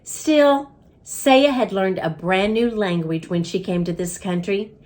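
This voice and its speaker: A woman's voice: medium pitch, a little slow, darkish tone. A woman reading a children's story aloud with dramatic, emphatic storytelling.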